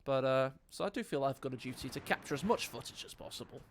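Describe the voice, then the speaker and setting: A man's voice: mid-range voice, lively intonation, fast talker, slight background noise. A man casting a live strategy game match on stream. He jokes and talks directly to his viewers. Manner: playful, jokey, chats to viewers. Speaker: esports caster.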